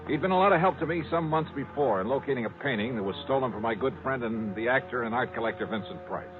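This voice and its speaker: An actor playing a brisk, wry American insurance investigator, in dialogue and first-person narration for an old radio drama. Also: male voice, mid-range voice, natural voice. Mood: brisk, confident. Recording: narrow old radio recording with music.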